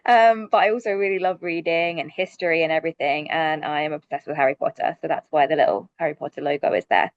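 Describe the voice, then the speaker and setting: Woman with a London accent, low voice. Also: fast talker, hardly any pauses, no echo, dull audio. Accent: London English. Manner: cheerful, chatty with frequent ums. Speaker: sustainability director, panel moderator. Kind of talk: webinar introduction. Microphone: video call.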